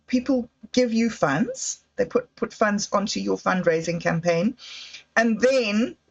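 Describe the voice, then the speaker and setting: A woman's voice: mid-range voice, very animated, measured pace, husky. A woman, hosting a livestream and musing in a hesitant, self-doubting way about her projects. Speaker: livestream host. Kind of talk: casual livestream monologue. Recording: slightly dull lo-fi audio.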